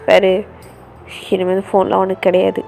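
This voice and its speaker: A woman's voice: mid-range voice, flat delivery, a little fast, gravelly, dark tone, soft background music, pitched below the performer's own voice, close to an everyday voice. A woman voicing an angry, controlling older brother who barks threats and orders. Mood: angry threatening. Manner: harsh, threatening, commanding.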